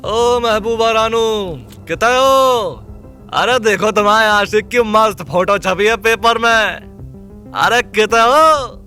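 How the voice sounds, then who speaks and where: A man's voice: sits high, slow, bright, forceful tone, soft background music, full character voice. A man voicing a cruel young male villain who mocks and taunts, in an audio drama. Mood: taunting. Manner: mocking, taunting, cackling.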